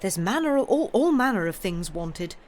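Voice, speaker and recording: A woman voicing a fierce, loyal older Englishwoman, talking briskly and emphatically, with her voice lifting high and loud. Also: very animated, a little hiss or hum, close to an everyday voice. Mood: emphatic.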